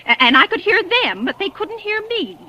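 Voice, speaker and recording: A woman's voice: extremely high pitch, sing-song, moderate pace, bright, forceful tone, heavily acted, poor audio. An actress playing a nervous, demanding wealthy invalid woman in a 1940s radio drama, stammering, fretful and imperious.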